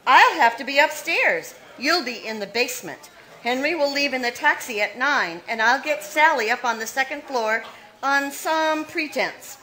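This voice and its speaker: A scheming young plotter from an old radio mystery, played by a woman, giving orders in a high, bright tone. She is cold and commanding, and she leaves no room for doubt in how she talks. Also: expressive, moderate pace, low-quality recording. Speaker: radio play actor. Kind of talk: 1940s radio acting.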